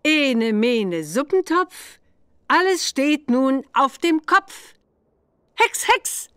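A woman playing an encouraging adult witch mother who gives clear instructions in a children's computer game. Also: high voice, very animated, very slow, a bit bright, no echo, clean studio sound, full character voice. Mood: chanting a spell. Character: Bibi's mother, kindly adult witch examiner.

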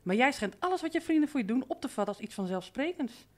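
A woman's voice: high voice, lively intonation, fast talker, darkish tone. A woman playing a bossy, familiar housekeeper in a radio drama, reproachful and chiding. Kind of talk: radio drama acting in Dutch.

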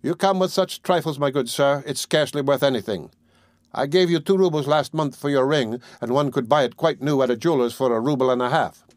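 Guy doing a stingy old pawnbroker lady, curt and sharp, haggles hard, voice pushed higher, dry sound. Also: fairly quick, dry recording, lightly acted. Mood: curt, haggling.

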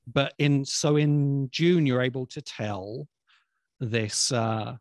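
A man in his forties explaining things conversationally in a thoughtful, hesitant way, pausing often, speaking into a close desk microphone. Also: medium pitch, no room sound. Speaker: guest expert on science fiction. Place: indoor room on a video call.

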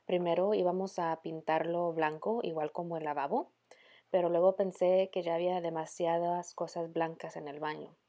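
Low, mellow woman narrating DIY. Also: fairly even intonation, moderate pace. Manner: casual, mixes in English words. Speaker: DIY home vlog host. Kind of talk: casual narration of a DIY makeover.

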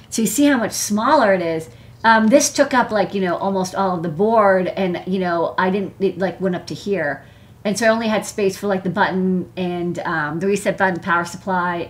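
An American woman, thirties or forties, hosting a casual live hardware show-and-tell in a rambling, chatty way full of "like" and "you know". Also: medium pitch. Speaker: host, electronics engineer. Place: indoor desk workspace.

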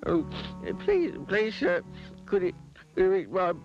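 A man's voice: high voice, sing-song, a little slow, muffled recording, over music, voice pushed up. A man voicing a tearful little boy in a storybook, stammering as he begs for help.

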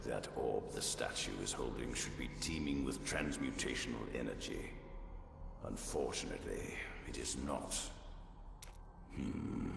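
An actor playing a haughty, sardonic old library curator in a video game, formal and disdainful in his delivery. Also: heavily acted. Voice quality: deep, gravelly. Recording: long cavernous reverb, game music behind.

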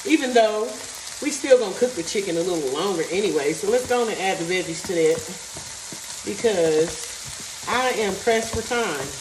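A woman talks casually as she cooks, thinking aloud and walking through the recipe step by step. Her voice is of middle pitch and comes from a little away from the mic in an echoey home kitchen. Frying and stirring sizzle loudly behind her. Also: expressive, moderate pace, far from the mic, noisy background. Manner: chatty, thinks aloud, reads recipe steps. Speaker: cooking vlogger. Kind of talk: casual cooking vlog chat. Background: sizzling and stirring in a pan.

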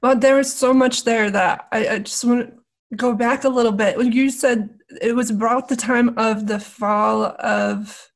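A woman's voice: a little high, fairly even intonation, a little slow. A young adult woman hosting an interview over a video call with a headset, friendly and affirming as she asks questions. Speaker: interview host.

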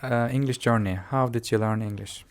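A man's voice: mid-range voice, steady tone, a little fast. A man with a mid-range voice, a native Turkish speaker. He works as a teacher and host, moving between questions to guests and explanations for viewers. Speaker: English teacher and show host. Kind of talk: interviewing and explanatory commentary.

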